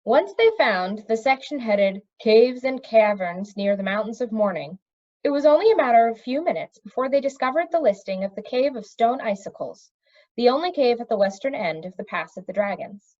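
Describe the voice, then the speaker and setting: A woman reading a children's fantasy novel aloud in an expressive storytelling style. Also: a little high, normal speed, highs cut off. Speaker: storybook reader. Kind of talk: expressive read-aloud of a children's fantasy novel, pausing to question young listeners.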